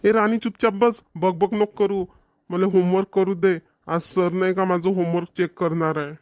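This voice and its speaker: A woman's voice: fairly low pitch, flat delivery, moderate pace, a little mellow, no echo, close to an everyday voice. Bossy cartoon schoolboy, dry and close woman acting. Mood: annoyed. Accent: Maharashtrian, rural Vidarbha dialect. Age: child character, about eleven. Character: bossy schoolboy older brother, sixth grader. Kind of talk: Marathi cartoon comedy dialogue.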